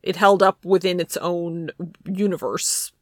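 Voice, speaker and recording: A woman's voice: lowish voice, fairly even intonation, a little slow, no echo, clean studio sound. A woman co-hosting a casual film chat, talking in an informal, loose way full of filler "like"s. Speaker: podcast co-host.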